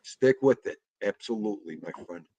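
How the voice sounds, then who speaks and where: A man's voice: on the low side, lively intonation, moderate pace, mellow, breathy edge, dry recording. A man hosting a casual livestream chat, greeting viewers and laughing often. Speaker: livestream host.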